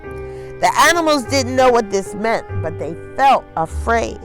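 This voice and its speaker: A woman storyteller reads a picture book aloud to children, expressively, in deliberate phrases with dramatic pauses. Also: fairly high pitch, animated, faint music behind.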